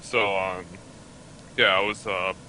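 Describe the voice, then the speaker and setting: A man's voice: low-pitched, little pitch movement, slow pace, bright, forceful tone, slight background noise. A young man from Canada, a guest player, talking in a laid-back way with many fillers about how he got into gaming. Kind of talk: casual interview chat.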